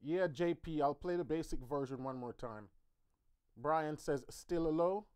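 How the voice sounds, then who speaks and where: A fairly high-voiced man teaching piano on a live stream, talking to viewers in an easy, chatty way. He thinks out loud as he fiddles with his streaming mic in a home music studio. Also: measured pace, clean tone. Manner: casual, chatty, troubleshooting aloud with viewers.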